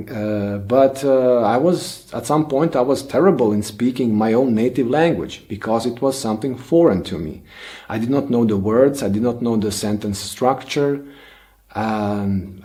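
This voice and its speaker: A man whose first language is Bosnian hosts his own channel, musing aloud in a slow, unhurried way. His voice is dark and mellow, with frequent "uh"s and restarted sentences as he thinks things through. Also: mid-range voice. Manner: slow, thoughtful, many fillers and restarts. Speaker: channel host. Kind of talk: reflective solo monologue.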